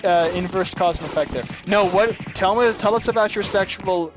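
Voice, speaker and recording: Guy with a high voice ribbing his friends, laughs a lot, game music loud behind. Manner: teasing, quick to laugh. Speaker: show co-host, gamer. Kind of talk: casual gaming podcast chat.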